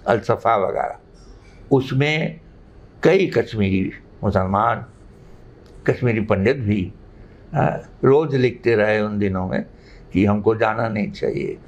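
A man, explaining things haltingly but emphatically as an interview guest. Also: fairly low pitch. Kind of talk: unscripted interview answers. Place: living room indoors. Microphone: lapel mic. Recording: slightly muffled sound.

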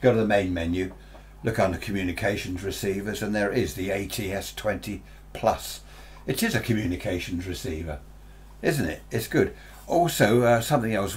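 An older British man giving a casual, rambling, unscripted demonstration, chatting with frequent tag questions. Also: fairly low pitch. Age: 60s or older. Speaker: presenter reviewing radio gear. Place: small cluttered indoor radio workshop.

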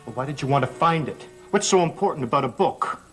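A man's voice: medium pitch, lively intonation, fast talker, natural voice. A young actor playing a suspicious, prickly man of the house in a gothic soap opera, speaking in a pointed, questioning way. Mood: irritated, challenging. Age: young adult. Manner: pointed, interrogating. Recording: vintage TV soundtrack with music underneath.

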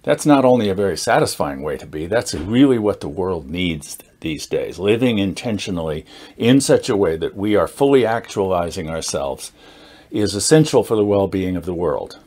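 A man in his sixties speaks in a fairly low voice, talking informally to camera as the host of a group. He explains in a measured, somewhat halting way, leaning on key words with deliberate emphasis. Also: very animated, a little mellow. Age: 60s. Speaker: meetup founder and host, teacher. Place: indoors, small studio with dark curtain backdrop.

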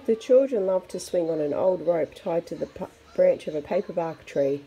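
Gravelly-edged woman reading a children's picture book. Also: lowish voice, normal speed, poor audio. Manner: expressive, with chatty asides to young listeners. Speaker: storybook reader for children.

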